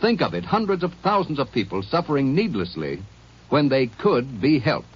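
A male radio announcer makes an earnest, emphatic appeal. His pitch moves a lot and the voice is a touch husky. The old broadcast sounds muffled. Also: big pitch swings, normal speed. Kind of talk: 1950s radio public service appeal.

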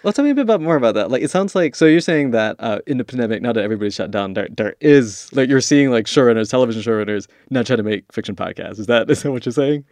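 A man's voice: medium pitch, lively intonation, rapid-fire, hardly any pauses, a little mellow, dry recording. A man hosting a podcast interview in a conversational style.

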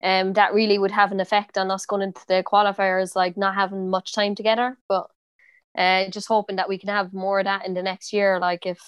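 Brief: twenties woman, Cork accent, flat even delivery, smooth voice, dry close sound, easygoing interviewee with fillers. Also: medium pitch, brisk, dry recording, dull audio. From Ireland. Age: 20s. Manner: relaxed, many fillers and restarts. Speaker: interviewee, footballer. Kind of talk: casual interview.

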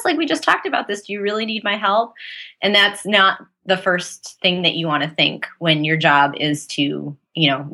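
Low-pitched woman, bright and candid. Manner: candid, animated storytelling. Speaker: guest. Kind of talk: casual interview storytelling.

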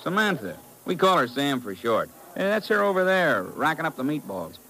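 Higher-voiced wisecracking American cafe man. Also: sing-song, moderate pace, pushed and bright, full character voice, low-quality recording. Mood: wry, breezy. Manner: folksy, jokey. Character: easygoing, wisecracking male American cafe owner. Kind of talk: 1950s radio drama acting.

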